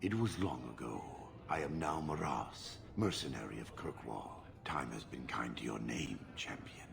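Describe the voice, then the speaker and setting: An actor playing a stoic giant horned mercenary in a video game, gravelly, terse, measured and deadpan. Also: male voice, a crowd in the background, heavily acted. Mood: stoic, detached. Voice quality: very deep, gravelly. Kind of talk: video game character acting.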